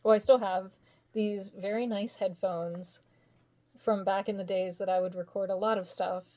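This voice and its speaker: A woman's voice: medium pitch, steady tone, normal speed, dark tone. Woman, voice actor guest. Chatty, laughs easily, mid-range voice, rambles. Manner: chatty and giggly, with rambling hesitant phrasing. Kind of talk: casual interview chat.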